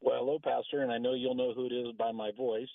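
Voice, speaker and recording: A man's voice: medium pitch, level tone, fast talker, vocal fry. A man calling in to a radio show, chatting in a friendly way with a big voice. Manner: friendly, chatty, laughs easily. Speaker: caller. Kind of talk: phone-in chat. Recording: tinny phone line.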